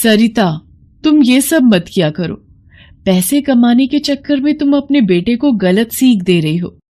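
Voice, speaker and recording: A woman's voice: on the high side, animated, measured pace, warm tone, voice pushed up. A woman playing a poor, honest blind beggar mother in a moral story, plaintive and earnest. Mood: gently reproving. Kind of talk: dramatised moral story acting.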